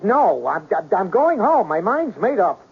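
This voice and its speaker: A man's voice: high voice, very animated, unhurried, vocal fry, full character voice. An actor playing a stubborn, exasperated lovesick man who grumbles and protests, stammering when flustered, in an old-time radio drama. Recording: noisy, tinny narrow-band radio recording.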